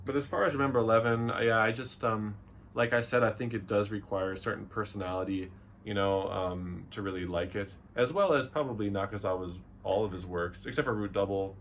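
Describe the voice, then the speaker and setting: Casual talker: man, low voice, hesitant and laid-back, faintly noisy recording. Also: brisk, a bit bright. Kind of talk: casual chat.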